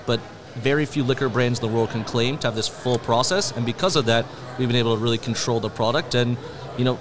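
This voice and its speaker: A man's voice: medium pitch, fast. A man from a spirits brand speaks fluently and promotionally about the product. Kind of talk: polished pitch talk. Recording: outdoor crowd noise.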